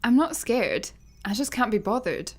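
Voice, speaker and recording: A woman's voice: on the high side, sing-song, moderate pace, a little mellow, slightly rough. A woman with a Scottish accent playing a blunt, guarded young adventurer with dry sarcasm in a sci-fi comedy radio play. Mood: awkward, guarded. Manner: casual, dry.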